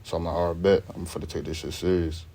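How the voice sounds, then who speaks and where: A man's voice: low voice, little pitch movement, fast, vocal fry, mellow. A young man from Sweden, a rapper, chatting in a laid-back, slangy way as the interview guest. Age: young adult. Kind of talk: casual interview chat.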